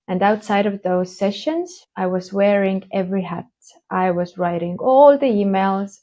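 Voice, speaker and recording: Slow-spoken woman from Estonia. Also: mid-range voice, warm tone. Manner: slow, hesitant, with many fillers. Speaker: conference speaker. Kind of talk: keynote talk. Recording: dull lo-fi online stream.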